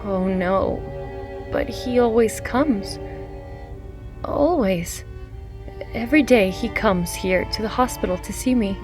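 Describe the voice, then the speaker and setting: A woman's voice: mid-range voice, takes it slowly, pauses often, over music, close to an everyday voice. A woman playing a sick, fragile young mother in a period audio drama, speaking in a plaintive, earnest way. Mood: anxious, pleading. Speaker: actor. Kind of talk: radio-style period drama acting.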